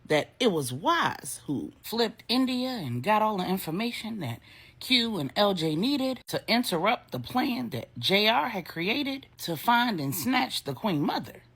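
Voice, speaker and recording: A woman's voice: medium pitch, sing-song, unhurried, fairly bright tone, husky. A woman storyteller narrating a drama in a casual, colloquial style.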